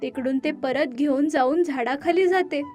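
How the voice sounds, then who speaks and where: A woman narrator reading a scripted moral tale for an animated story. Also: high voice, moderate pace, soft background music.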